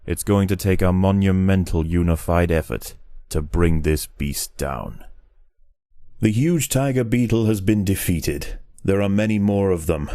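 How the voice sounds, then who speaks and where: A man narrating in a scripted nature documentary style for a video game, measured and grave. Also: low voice, warm tone, no echo, studio quality.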